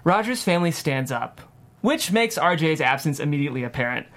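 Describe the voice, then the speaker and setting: A woman's voice: low-pitched, sing-song, a little fast. Woman reading out screenplay action lines at a comedy table read, scripted narration. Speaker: stage directions reader.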